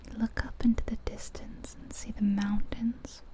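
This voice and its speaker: A woman softly narrating an ASMR guided visualization in a breathy, whispery, soothing voice, close to the microphone, with gentle pauses. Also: on the high side, little pitch movement. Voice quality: breathy, whispery soft-spoken voice. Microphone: close ear-to-ear ASMR microphone.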